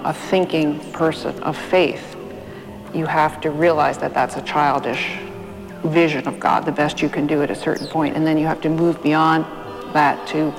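Thoughtful guy explaining stuff, lots of vocal fry, big reverb, music under it. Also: a little high. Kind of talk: reflective explanatory talk. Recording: long reverberant hall sound.

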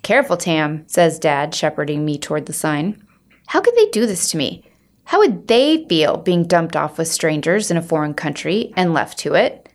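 A woman's voice: sits low, big pitch swings, moderate pace. Woman expressively reading a children's story. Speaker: reader of a children's book. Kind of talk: expressive storybook read-aloud.

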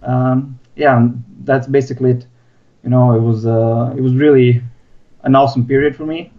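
A man's voice: medium pitch, fairly even intonation, normal speed. A young man with a Balkan accent, chatting casually as a podcast guest over a lo-fi internet call. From Bosnia. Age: young adult. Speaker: podcast guest, sports science researcher. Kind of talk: casual chat. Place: remote Skype call. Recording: dull, lo-fi internet call audio.

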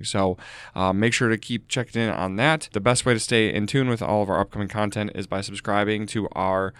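Upbeat man hosting a podcast, slightly gravelly. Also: fairly low pitch, fairly bright tone, no echo. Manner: upbeat, promotional, frequent ums. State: slightly gravelly from a sore throat. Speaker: podcast host. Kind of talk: casual podcast chat and read announcements.

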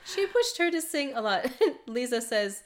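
A woman's voice: on the high side, sing-song, unhurried, a little hiss or hum. Chatty woman podcast host with laughter. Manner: chatty, laughs often between facts. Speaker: podcast host and mezzo-soprano. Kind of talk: conversational podcast storytelling.

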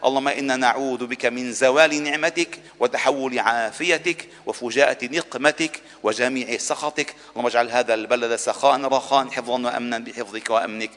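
A man's voice: lowish voice, a bit bright. Man preaching solemnly in a long-reverb hall. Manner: solemn, formal rhetorical cadence, insistent repeated pleas. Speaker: mosque preacher, imam. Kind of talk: Arabic Friday sermon and supplication.

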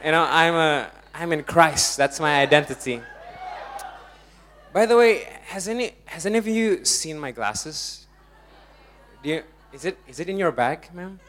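A young man from Indonesia, preaching a lively, jokey sermon to a congregation and laughing often. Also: on the high side, measured pace, a little hiss or hum.

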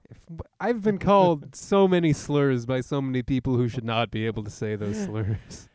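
A man's voice: medium pitch, moderate pace, darkish tone, no room sound. A man co-hosting a podcast in casual chat, with a wry, joking manner. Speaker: podcast co-host.

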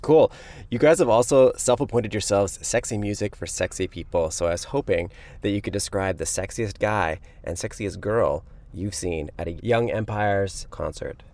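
A man's voice: lowish voice, normal speed, a little mellow. A man hosting an interview show, delivering prepared introductions and questions. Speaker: radio interview show host.

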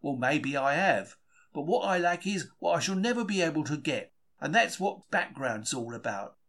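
A man's voice: a little high, fairly bright tone. A man reading a first-person diary from a script in a conversational, confiding storytelling manner. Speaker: audiobook-style reader of a diary.

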